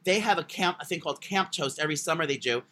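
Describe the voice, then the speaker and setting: A man with a high voice chatting as a podcast co-host, crude, rambling and self-mocking. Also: fast talker, pushed and bright, a little hiss or hum. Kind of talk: casual chat.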